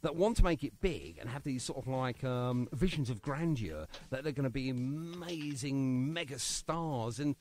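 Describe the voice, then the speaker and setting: Chatty, lively British radio host man. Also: medium pitch, moderate pace, hardly any pauses, a little hiss or hum. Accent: British English. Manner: chatty, rambling and jokey, many fillers. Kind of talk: casual radio interview chat.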